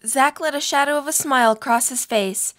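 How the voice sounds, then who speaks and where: A woman's voice: high voice, moderate pace, fairly bright tone. A woman reading scripted narration for a story.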